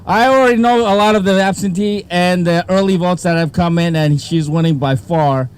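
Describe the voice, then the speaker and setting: A man's voice: sits high, moderate pace, clean tone. A man speaking with enthusiasm and emphasis, introducing and praising a local candidate. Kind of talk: campaign speech.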